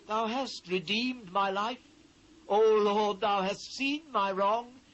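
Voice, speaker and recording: A man's voice: high voice, slow pace, a bit bright, low-quality recording. A British man playing an anguished biblical prophet in a dramatised scripture reading, solemn, declamatory and impassioned. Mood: anguished, pleading. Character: anguished male biblical prophet lamenting his ruined people. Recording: faint noise, old cassette transfer.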